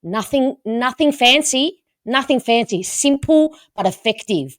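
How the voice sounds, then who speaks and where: A woman's voice: high-pitched, lively intonation, measured pace, no echo, studio quality. A woman hosting a podcast, chatting casually and emphatically about sport and repeating words for emphasis. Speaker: podcast host.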